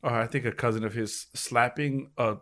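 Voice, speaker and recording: A man's voice: medium pitch, steady tone, normal speed, a bit bright. A man co-hosting a casual chat, joking in a dry, laid-back way.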